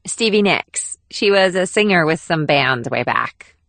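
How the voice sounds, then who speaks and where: A breezy teenage girl talks in a casual, offhand way, voiced by a male narrator. Her pitch sits above his own, and the recording is dry. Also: expressive, measured pace, gravelly, sharp tone, no echo, exaggerated voice.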